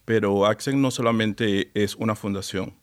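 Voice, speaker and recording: A man from Venezuela tells his own story in a measured, sincere way. His voice sits somewhat low, and he speaks plainly as a panel guest. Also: fairly even intonation. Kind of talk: telling his personal story.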